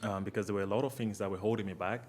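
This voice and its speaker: A man's voice: fairly low pitch, steady tone, very fast, darkish tone, a little hiss or hum. A young man from Cameroon, a podcast guest, speaking earnestly and reflectively with many "you know" fillers. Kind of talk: casual interview talk.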